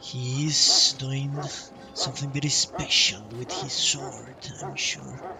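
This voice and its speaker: A male game streamer thinks aloud in live commentary. He speaks slowly at a medium pitch, sounding a little away from the mic, with game music behind him. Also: vocal fry, distant mic. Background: video game music.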